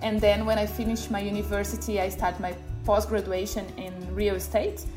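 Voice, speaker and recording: A woman in her thirties speaks English with a Brazilian accent and a medium pitch. She talks casually about herself, as in a personal interview. Music plays behind her voice. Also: flat delivery, normal speed. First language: Portuguese. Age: 30s. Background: background music.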